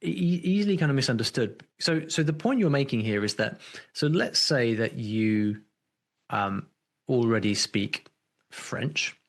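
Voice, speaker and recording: A man's voice: medium pitch, animated, measured pace. A man hosting a podcast interview, thinking aloud with frequent restarts and fillers. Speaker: podcast host.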